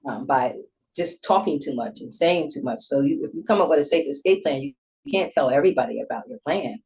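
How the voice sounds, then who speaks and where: A woman's voice: deep voice, lively intonation, brisk, darkish tone, a little hiss or hum. Woman in her 60s, pastor guest, earnest testimony tone, dull lo-fi audio. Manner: earnest, testimonial, faith-filled. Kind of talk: casual interview talk.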